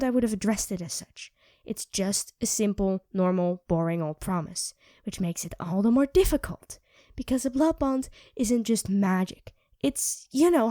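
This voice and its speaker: A woman voicing an irritable young female vampire who craves blood, speaking in a sharp, sarcastic way and often dropping to a whisper. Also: fairly high pitch, no echo, clean studio sound, natural voice. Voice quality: drops into soft whispers. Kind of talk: ASMR roleplay.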